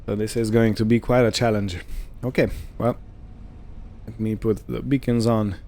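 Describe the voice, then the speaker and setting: A man giving casual roleplay gameplay commentary, unhurried and thinking aloud as he goes. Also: fairly low pitch, little pitch movement, dark tone, slight background noise. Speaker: gaming video host.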